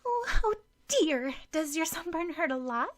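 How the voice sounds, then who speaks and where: A woman's voice: very high voice, sing-song, unhurried, pitched above the performer's own voice, exaggerated voice. A woman voicing a sweet, fretful girl in an audiobook, fussing and gushing with concern. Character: sweet, fretful, caring young girl friend. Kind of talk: audiobook character dialogue.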